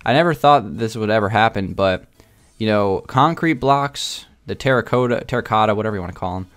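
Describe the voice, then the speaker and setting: A man's voice: fairly low pitch, lively intonation, a little fast, a bit bright. A man chatting casually to his viewers in a gaming video, rambling a little and often repeating himself. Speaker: video game YouTuber.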